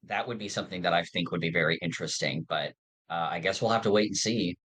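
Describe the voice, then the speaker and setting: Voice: low voice, quick pace, a bit bright, breathy edge, low-quality recording. A podcast host talking casually through fan theories in an earnest, nerdy, somewhat hesitant way.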